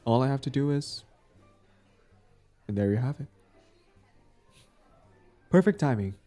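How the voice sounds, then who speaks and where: A man acting out a smug but smitten villain on a date, smooth-talking and casual, in an intimate audio roleplay. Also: mid-range voice, lively intonation, measured pace, dark tone, faint music behind, natural voice. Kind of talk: intimate scripted boyfriend-style audio roleplay.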